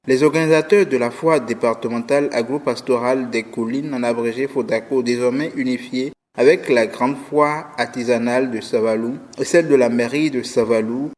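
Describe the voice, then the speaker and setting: A man's voice: mid-range voice, a little mellow. A man with a Beninese French accent reading a scripted news report as its narrator. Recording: long hall-like reverb.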